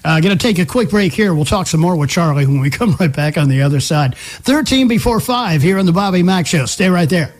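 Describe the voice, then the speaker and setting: A man's voice: high-pitched, animated, a little fast, few pauses. A man hosting a live talk radio show, chatting conversationally on a close studio microphone.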